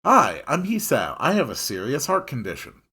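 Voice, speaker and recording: A man's voice: mid-range voice, wildly swooping pitch, moderate pace, pushed and bright, slightly rough, exaggerated voice. A man playing an earnest, awkward teenage schoolboy in a visual novel, emphatic and self-conscious. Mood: mock introduction. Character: earnest, awkward teenage boy, new student.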